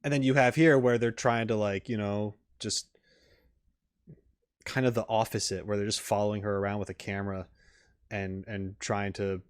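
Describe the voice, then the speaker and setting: A man's voice: on the low side, little pitch movement, normal speed, clear, resonant tone. A man giving casual, off-the-cuff commentary as a reaction streamer, rambling through his thoughts with many asides. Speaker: reaction video host and streamer.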